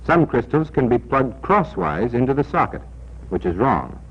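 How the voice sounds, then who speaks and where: An American man narrating a 1940s military training film in a scripted, deliberate, instructional and emphatic manner, on an old film soundtrack. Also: mid-range voice, sing-song, breathy edge. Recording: dull, band-limited 1940s film soundtrack.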